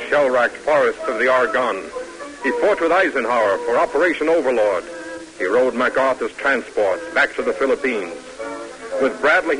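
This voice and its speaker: A man narrating a vintage radio drama in a solemn, declamatory, measured style. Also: medium pitch, poor audio. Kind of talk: dramatic 1940s-50s radio documentary narration. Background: music.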